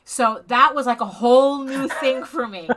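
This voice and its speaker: A middle-aged woman from Spain with a bright, crisp tone. She talks casually as an interview guest over a video call, often restarting her sentences and breaking into chuckles. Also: high voice, slight background noise. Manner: halting, with frequent restarts and chuckles. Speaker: comic artist guest.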